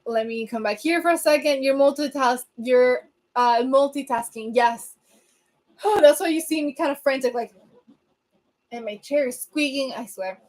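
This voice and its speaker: A young woman from Venezuela, a native Spanish speaker, hosting a livestream and chatting casually as she answers comments, laughing often and filling pauses with um. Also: high-pitched, a little mellow. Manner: chatty, laughs often, many ums and restarts. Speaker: livestream host.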